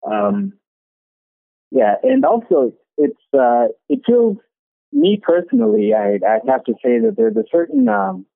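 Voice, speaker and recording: Gravelly man on a tinny phone line. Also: sits high, wildly swooping pitch, unhurried, no room sound. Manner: hesitant, many restarts and fillers. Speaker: business owner being interviewed. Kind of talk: casual interview answers.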